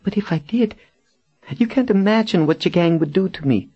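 A man's voice: fairly high pitch, expressive, normal speed, highs cut off, soft background music, voice pushed up. A man voicing a frightened, demure enslaved woman in an audiobook, pleading with urgency. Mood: anguished pleading.

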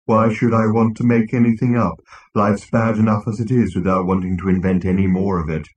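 A man voicing a depressed, disdainful male robot in a low, dull, resigned voice for an audiobook. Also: level tone, a little fast, a little mellow, slightly rough. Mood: gloomy, resigned.

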